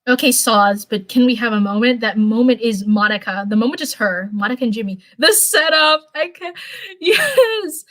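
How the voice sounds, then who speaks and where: Chatty young woman, voice going hoarse. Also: fairly high pitch, expressive, normal speed, a bit bright, dry recording. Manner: rambling, chatty, frequent "like" fillers and restarts. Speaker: livestreamer. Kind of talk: casual livestream chat, answering viewers.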